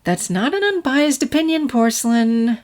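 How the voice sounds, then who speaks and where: A woman's voice: on the high side, very animated, moderate pace, darkish tone, pitched above the performer's own voice. Woman voicing a prim head-maid pony mare. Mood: teasing. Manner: polite, practiced authority, prim. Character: proper, cordial head-maid pony mare, elder sister. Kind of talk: fan fiction character reading.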